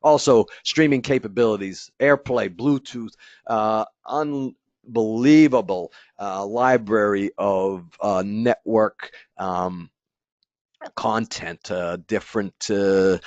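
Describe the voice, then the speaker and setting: A man's voice: low-pitched, no room sound. A man giving a casual dealer training webinar, talking loosely with many fillers and restarts and sometimes acting out an installer's thoughts. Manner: acts out an installer's inner thoughts. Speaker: company product trainer. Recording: dry, slightly dull webinar audio.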